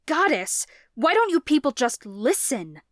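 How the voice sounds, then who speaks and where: A woman's voice: sits high, sing-song, a little slow, a bit bright, no echo, studio quality, pitched above the performer's own voice, exaggerated voice. A woman voicing an indignant middle-aged mother scolding her son. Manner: gasping, indignant.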